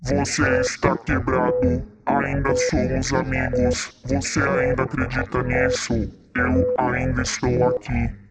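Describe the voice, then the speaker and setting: A man's voice: medium pitch, completely flat delivery, slow pace, gravelly, over loud music. A man playing an eerie, consoling animatronic bear, solemn and reassuring, in an audio drama.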